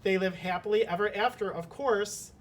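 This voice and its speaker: A man speaking as a teacher, reading a children's story aloud and discussing it. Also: normal speed. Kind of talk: storybook narration and lesson discussion. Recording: slightly distant mic, faint rumbling noise.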